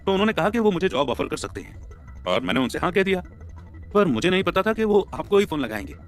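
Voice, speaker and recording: A man voicing a smooth-talking young husband who is eager to placate, in an audio story. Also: high-pitched, very animated, soft background music, pitched above the performer's own voice. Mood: hasty, explaining. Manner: quick-thinking, placating.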